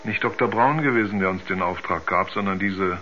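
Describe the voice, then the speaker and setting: Haunted male astronaut, groping for words, deep, dull seventies radio-play sound. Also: bright, forceful tone, faint music behind. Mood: uneasy, insistent. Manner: halting, groping for words, repeats himself. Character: troubled male astronaut, haunted by terrifying dreams. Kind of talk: 1970s German radio drama acting.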